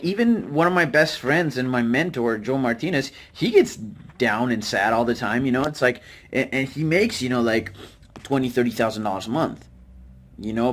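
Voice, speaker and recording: A man from Utah with an American accent talks casually and candidly as a vlogger, in a hesitant, self-deprecating, rambling way with informal slang. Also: medium pitch. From Utah, United States. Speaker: vlogger, network marketer. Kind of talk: casual, candid talk to camera.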